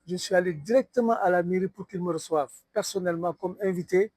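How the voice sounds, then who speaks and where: A man's voice: high-pitched, fast talker, darkish tone, no echo, clean studio sound. A man from Senegal, a sculptor guest in an interview, telling his story in an easygoing way with many hesitations. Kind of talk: conversational interview.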